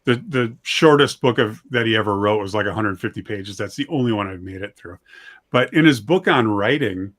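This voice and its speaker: A man talking in a casual discussion, conversational and halting, telling things as anecdotes. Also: fairly low pitch, fairly bright tone.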